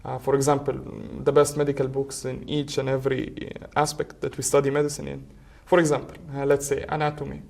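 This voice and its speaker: Creaky-voiced young man, native Arabic speaker. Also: mid-range voice, monotone, fast, hardly any pauses, darkish tone. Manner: hesitant, many filler uhs. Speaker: podcast guest. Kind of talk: casual conversation. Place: podcast studio.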